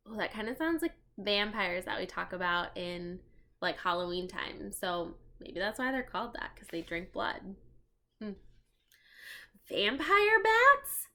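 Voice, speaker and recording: A woman doing an expressive storybook read-aloud for children, addressing listeners as friends and explaining as she goes. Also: lowish voice, moderate pace.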